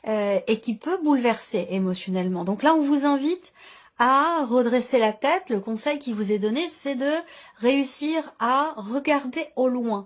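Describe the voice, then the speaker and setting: A woman with a fairly high voice talks through a tarot reading. She speaks off the cuff, feeling for the right word and often doubling back on a phrase to restate it. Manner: halting, searching for words, repeats herself. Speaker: tarot reader. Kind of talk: intuitive tarot reading to camera, unscripted.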